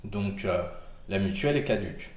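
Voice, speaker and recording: A man's voice: on the low side, expressive, moderate pace, echoey room. A man with a cold giving casual health advice to camera, rambling with many fillers and restarts. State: has a cold.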